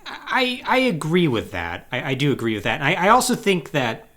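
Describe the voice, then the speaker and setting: A man co-hosting a podcast in casual chat, talking in an animated, emphatic, conversational way. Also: a little high, normal speed. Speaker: podcast co-host.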